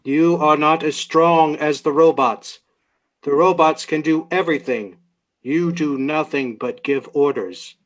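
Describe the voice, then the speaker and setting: A man's voice: fairly high pitch, measured pace, natural voice. A man playing a cold, contemptuous robot in a radio drama, speaking in a curt, blunt and insistent way. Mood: cold, sullen. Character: defiant male humanoid robot, cold, contemptuous, rebellious.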